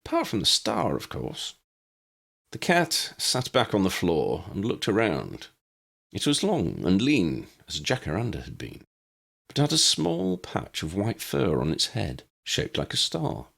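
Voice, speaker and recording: A man's voice: sits low, huge pitch swings, unhurried, creaky, fairly bright tone, breathy edge. A man reading a scripted story aloud as an audiobook narrator. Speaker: audiobook narrator reading own fan fiction.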